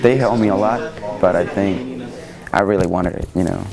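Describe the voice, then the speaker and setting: Deep-voiced young Cuban man, halting. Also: creaky, crowd noise, poor audio. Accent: Cuban Spanish. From Cuba. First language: Spanish. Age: young adult. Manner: hesitant, many fillers. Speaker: student. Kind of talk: interview.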